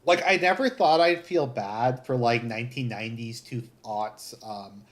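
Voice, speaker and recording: A man with a Southern Ontario Canadian accent, chatting casually as a livestream host in a halting, rambling way that sounds like thinking aloud. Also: mid-range voice. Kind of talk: casual chat, live commentary.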